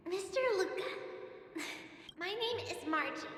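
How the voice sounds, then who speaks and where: A woman's voice: very high voice, animated, drawn-out words, fairly bright tone, a little hiss or hum, exaggerated voice. A woman voicing a hopeful, sickly young girl in a video game, earnestly and wistfully reading out her dreams of becoming a fighter. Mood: shy, admiring. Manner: earnest, wistful, childlike storytelling. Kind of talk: video game voice acting, reading a letter aloud. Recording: long echoing reverb, music underneath. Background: music.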